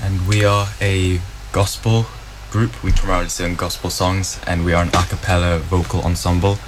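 A twenty-year-old man answering interview questions about his singing group in a calm, matter-of-fact way. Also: low-pitched, moderate pace, noisy background. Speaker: vocal group arranger.

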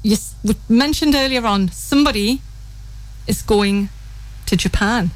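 A woman's voice: a little high, normal speed, poor audio. A woman hosting a casual interview, chatty and enthusiastic, often restarting her questions. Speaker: radio host.

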